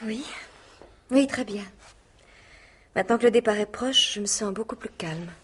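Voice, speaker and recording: An actress playing a headstrong, proud young niece in love, earnest and spirited, in a radio mystery play. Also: female voice, medium pitch, normal speed, slightly rough, natural voice. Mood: gentle concern. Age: young adult.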